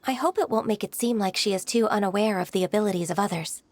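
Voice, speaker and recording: A woman playing a gentle, reserved young half-human immortal in a video game, polite and earnest. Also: medium pitch, fast, slight background noise, lightly acted. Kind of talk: video game character acting.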